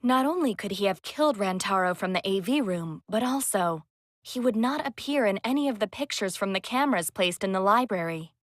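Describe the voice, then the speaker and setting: A woman's voice: mid-range voice, lively intonation, brisk, no room sound, studio quality, close to an everyday voice. A woman playing a polite, methodical young female investigator in a video game, speaking formally and precisely. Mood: composed, analytical. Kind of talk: video game dialogue acting.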